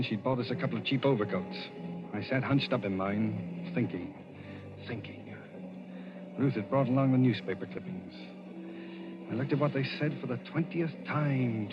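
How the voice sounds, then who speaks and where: A man's voice: medium pitch, brisk, over music, natural voice. Guy acting a desperate man on the run, clipped n brooding, 1940s radio thriller, dull lofi recording. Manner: tense, insistent, clipped, brooding when narrating. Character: desperate amnesiac man on the run, accused of murder.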